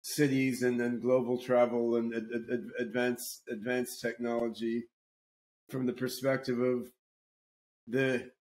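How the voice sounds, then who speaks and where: A man hosting a casual podcast chat at a middle pitch. He speaks haltingly, stumbling over repeated words and restarting his sentences as he introduces the talk. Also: little pitch movement, darkish tone. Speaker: podcast host.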